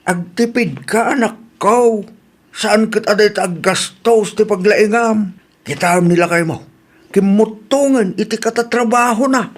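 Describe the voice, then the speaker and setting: A man with a Filipino accent playing an expressive rural male character in a comedy radio drama. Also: high voice, unhurried. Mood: earnest advising.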